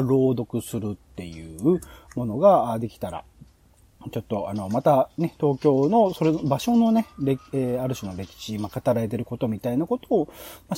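A man's voice: mid-range voice, mellow, husky. A man hosting a podcast, explaining ideas casually and thinking aloud in long, loosely linked sentences. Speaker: podcast host. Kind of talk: casual explanatory monologue pitching show ideas.

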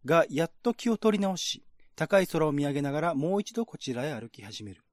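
A man delivers measured, scripted narration in the style of a radio drama. His voice sits somewhat high for a male reader. The recording is dry, with little room sound around the words. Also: sing-song, no echo.

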